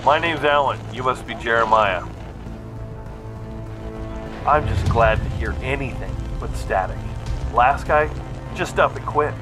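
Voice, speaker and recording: Actor as uneasy fire lookout over music. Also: male voice, medium pitch, measured pace, pauses often, lightly acted, rough recording. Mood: friendly. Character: friendly, uneasy male forest fire lookout. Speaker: character in a video game trailer. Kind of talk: naturalistic game dialogue.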